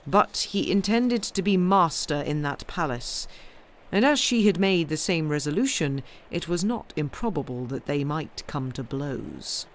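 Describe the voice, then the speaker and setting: A woman's voice: low voice, lively intonation, measured pace. Woman reading a classic novel aloud. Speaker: audiobook reader. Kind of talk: expressive literary narration.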